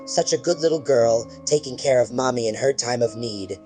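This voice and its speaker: A man's voice: mid-range voice, moderate pace, warm tone, soft background music, lightly acted. A man voicing a slimy, drooling monster that pretends to be a mother, speaking in a mocking, sinister croon.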